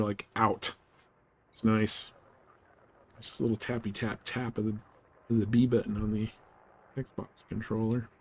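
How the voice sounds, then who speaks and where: A man chatting informally and slangily as he gives rambling live commentary on a video game he is streaming. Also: fairly low pitch. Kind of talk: casual live gameplay commentary. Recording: clear background noise.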